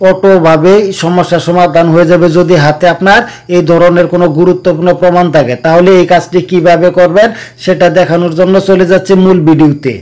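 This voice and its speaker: A man's voice: high voice, a little mellow, poor audio. A man hosting a tech tutorial, explaining things casually and repeating key points. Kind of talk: casual phone tutorial narration.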